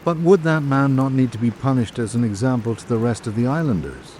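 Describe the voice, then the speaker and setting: A man playing a reflective visiting writer, reading his island journal in measured, literary radio drama narration. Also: mid-range voice, animated, darkish tone, a little hiss or hum, lightly acted. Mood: reasoning.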